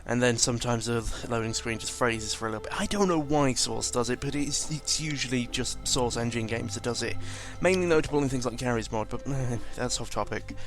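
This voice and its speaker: A man's voice: medium pitch, brisk, few pauses, faint music behind. A man giving casual, rambling game commentary, informal and sweary, often correcting himself as he goes. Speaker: gaming video commentator.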